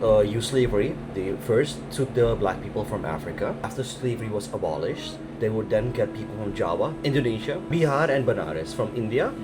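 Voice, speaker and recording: A man's voice: on the low side, expressive, fairly quick, few pauses, over music. A young man, son of a restaurant owner, explaining history with many fillers. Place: indoor restaurant.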